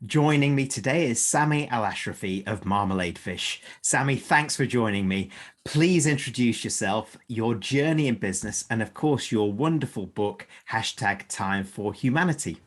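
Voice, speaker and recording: A man hosting a podcast, reading introductions and putting questions in a measured, articulate presenter's manner. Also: mid-range voice, animated. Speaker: podcast host. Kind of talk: scripted podcast introduction and interviewing.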